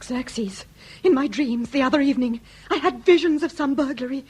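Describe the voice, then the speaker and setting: A woman's voice: sits high, moderate pace, darkish tone, slight background noise, close to an everyday voice. A woman playing a nervous, genteel Victorian lady of the house in a period radio comedy drama. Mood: alarmed, fretful.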